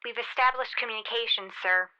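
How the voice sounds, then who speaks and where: A woman's voice: on the high side, brisk, sharp tone, highs cut off. A woman playing an eager, brave and deferential spaceship officer in a sci-fi radio drama, reporting in a clipped, respectful military way. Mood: earnest. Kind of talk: golden age sci-fi radio drama acting.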